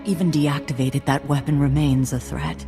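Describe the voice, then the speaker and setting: A man playing a weary, wise mentor in a video game, speaking in a measured, solemn way. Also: fairly high pitch, fairly even intonation, over music, close to an everyday voice. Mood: calm, solemn. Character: weary, wise male Jedi master, calm and grave. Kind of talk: dramatic video game acting.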